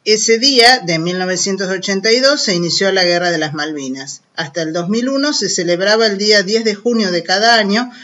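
Bright-voiced Argentine children's radio host. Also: medium pitch, lo-fi sound. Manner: didactic, addresses young listeners directly. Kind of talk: children's radio programme, explanatory narration.

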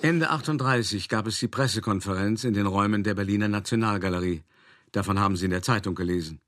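An actor playing an urbane, self-satisfied art dealer, telling his story in a measured, articulate radio play monologue. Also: on the low side, a bit bright, no echo, studio quality, close to an everyday voice. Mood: smug, satisfied.

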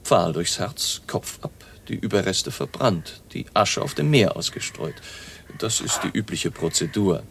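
An eerie baron steeped in vampire lore for a radio drama. His voice is low and full of creak. He speaks with measured, ominous gravity, over faint background ambience. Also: expressive, fairly bright tone, a little hiss or hum, heavily acted. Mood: grave, matter-of-fact. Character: baron, eerie learned vampire researcher, grave and deliberate.

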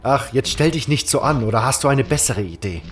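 A stubborn warrior hero, acted by a man, with a mid-pitched voice. He is curt, firm and commanding, in the manner of a fantasy audio play, with clear music behind him. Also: animated, fairly quick, natural voice. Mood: commanding, impatient. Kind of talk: fantasy audio drama acting.

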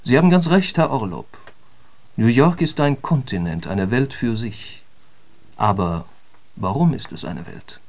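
Polite philosopher type lecturing in a radio play, eager to explain, asks rhetorical questions, medium pitch. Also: male voice, lively intonation, a little slow, a little mellow. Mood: didactic, insistent. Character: courteous male philosopher-lecturer, eagerly dissecting riddles.

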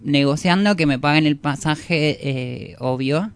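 Clear, smooth-voiced Argentine woman, slangy. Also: low voice, a little slow, no room sound. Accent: Rioplatense Argentine Spanish. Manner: slangy, self-deprecating, rambling. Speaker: musician guest. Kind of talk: casual radio interview.

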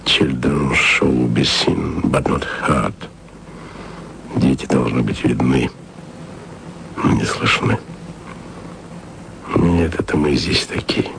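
An actor playing a wistful radio archivist who speaks softly and intimately to his beloved in a radio play. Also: slow pace, pauses often, a little hiss or hum, exaggerated voice, low-quality recording. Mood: tender. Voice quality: low, gravelly voice. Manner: soft, intimate, sometimes whispered. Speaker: radio man speaking to his distant lover.

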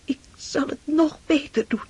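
An actress playing an earnest, idealistic young boy in a radio drama, breathy and fervent. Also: high voice, moderate pace, warm tone, no echo, lightly acted. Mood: fervent, resolute.

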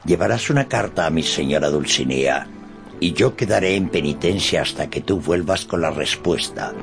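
An actor playing a deluded, love-struck old knight errant with pompous, flowery declamation in a radio drama. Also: male voice, low-pitched, expressive, moderate pace, vocal fry. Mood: lovelorn, solemn. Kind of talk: Spanish-language radio drama acting. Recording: studio radio drama with background music.

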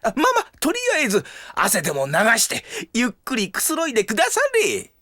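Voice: mid-range voice, huge pitch swings, fairly quick, sharp tone, no echo, clean studio sound, full character voice. An actor in a Japanese audio drama playing a polite, genial old man who runs an inn, speaking in an old-fashioned, deferential way. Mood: welcoming, genial. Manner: old-fashioned elderly speech, deferential, welcoming.

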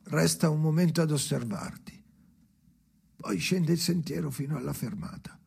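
A man playing a haunted, brooding storyteller in an experimental radio drama, speaking in halting, repeated fragments with a creaky voice that often drops to whispers. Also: on the high side, a little mellow, full character voice. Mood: brooding, uneasy. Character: haunted brooding man narrating memories alone by the sea.

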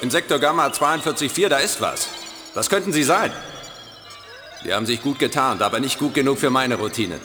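A man's voice: mid-range voice, lively intonation, brisk, close to an everyday voice. Reverberant, eager scanner program, man acting. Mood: alert. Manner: keen, reporting like a crew member. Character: eager, technical male antivirus scanner program. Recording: long reverb, loud music underneath.

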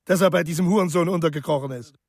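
A man's voice: a little high, very fast, a little mellow, dry recording, clean studio sound. An actor playing a hostile, sneering police inspector in a radio crime drama, taunting and contemptuous as he interrogates. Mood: needling.

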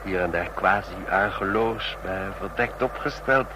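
An actor in a Dutch radio drama playing a chatty, smug train passenger obsessed with spy stories, talking in a knowing, needling way. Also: male voice, unhurried. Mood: smugly chatty. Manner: talkative, knowing, needling with rhetorical questions. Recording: noisy radio play with vehicle rumble.

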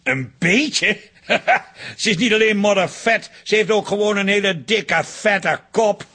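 Husky, grumbling middle-aged husband. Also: male voice, sits high, slow pace, pushed and bright, exaggerated voice. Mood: exasperated, baffled. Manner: blunt, grumbling, tactless. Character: tired, blunt, bewildered husband and son-in-law. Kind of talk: radio drama acting.

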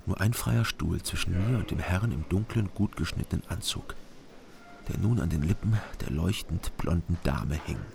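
An actor playing a brooding surveillance agent who narrates a radio crime drama in a measured, sober, observational way. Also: male voice, low-pitched, gravelly, noisy background. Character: brooding East German surveillance agent narrating his investigation. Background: music.

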